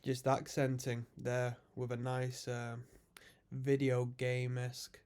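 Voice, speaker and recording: A man's voice: mid-range voice, monotone, slow pace, darkish tone, clean tone. Congested man reacting to music. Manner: chatty, musing, explains music terms. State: congested from a cold. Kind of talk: casual reaction commentary.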